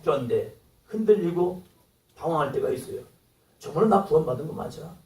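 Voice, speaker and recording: A man preaching from the pulpit with a high, animated voice that swings widely as he acts out quoted lines. He sounds set back from the microphone, and his words ring through an echoey church hall. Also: a little fast, recorded from a distance, a little hiss or hum. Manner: acts out quoted dialogue, rising to exclamations. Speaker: pastor. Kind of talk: sermon.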